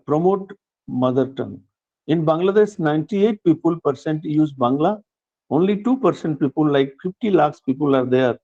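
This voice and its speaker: Older Indian man, mellow voice, earnest. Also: a little high, dull audio. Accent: Indian English. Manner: earnest, measured speech with frequent restarts. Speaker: award recipient giving acceptance speech. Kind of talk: formal speech.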